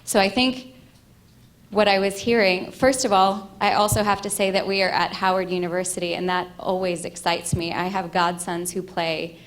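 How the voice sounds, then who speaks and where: A woman from Egypt giving an earnest, measured keynote with a loud speaking voice. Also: fairly low pitch, steady tone. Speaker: keynote speaker, ethicist and futurist. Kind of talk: keynote talk.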